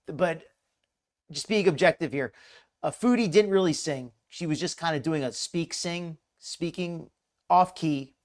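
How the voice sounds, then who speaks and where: A slightly hoarse man hosting a live reaction stream, chatty and mocking, greeting viewers in chat by name. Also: fairly high pitch, unhurried. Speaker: commentary livestream host. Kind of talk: casual live reaction commentary.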